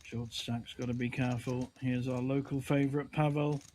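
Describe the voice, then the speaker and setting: A man's voice: mid-range voice, level tone, normal speed, darkish tone, slight background noise. A man giving chatty, conversational live commentary on a poker livestream.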